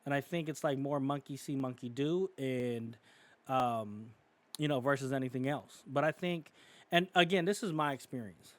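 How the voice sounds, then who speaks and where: A man's voice: medium pitch, moderate pace, a bit bright. Rambling man on a podcast. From California, United States. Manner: rambling, many fillers and restarts. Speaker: podcast co-host. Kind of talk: casual opinionated discussion.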